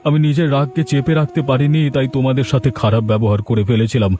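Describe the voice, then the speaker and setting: A man's voice: mid-range voice, fast, warm tone, close to an everyday voice. A man playing an older village landlord in a cartoon, suffering and repentant, in a groaning, pleading manner. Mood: weak remorse. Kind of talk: amateur cartoon voice acting.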